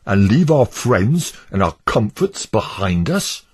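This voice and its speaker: A man voicing a stubborn, scornful male neighbour who blusters and mocks, in a husky voice. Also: lowish voice, sing-song, takes it slowly, darkish tone, pitched above the performer's own voice, exaggerated voice.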